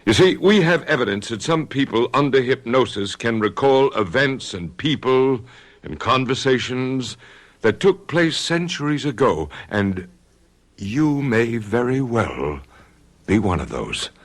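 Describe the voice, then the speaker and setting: An inquisitive doctor, lively. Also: male voice, medium pitch, unhurried. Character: calm inquisitive male doctor, researcher. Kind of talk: 1970s radio drama acting.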